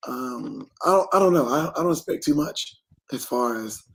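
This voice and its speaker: A man co-hosting a sports talk show, laid-back and plain-spoken, badly hoarse. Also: mid-range voice, animated, normal speed, fairly bright tone. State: hoarse, voice nearly gone. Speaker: football coach co-host.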